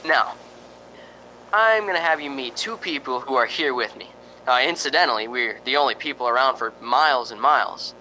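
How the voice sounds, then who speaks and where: An actor playing a chatty, slightly nervous ghost-hunting radio host in a radio drama, hesitant and conversational. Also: male voice, medium pitch, animated, normal speed, pushed and bright, slight background noise, rough recording. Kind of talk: radio drama acting, on-air commentary.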